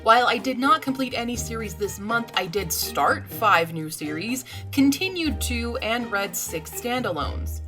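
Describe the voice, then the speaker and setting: A woman's voice: on the high side, animated, unhurried, few pauses. A woman hosting a video, reading a scripted recap as a voice-over. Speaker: video host. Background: music.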